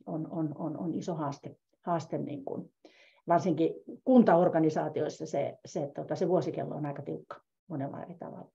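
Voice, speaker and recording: A woman's voice: low voice, creaky, dark tone. A middle-aged woman on a video call, speaking reflectively and repeating words as she thinks. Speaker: meetup participant. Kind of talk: casual discussion. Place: home room, indoors. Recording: dull lo-fi video call audio.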